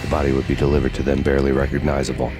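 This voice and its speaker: An actor playing a grim, hard-boiled cop who narrates in a deadpan, world-weary voice-over over game music. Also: male voice, extremely low pitch, rapid-fire. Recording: game soundtrack music behind.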